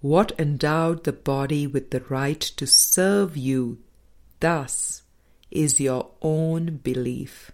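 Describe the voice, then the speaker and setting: A woman narrating a spiritual audiobook, reading scripted text in measured, deliberate phrases. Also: low voice, darkish tone. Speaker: audiobook reader. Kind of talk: scripted spiritual book narration, read aloud.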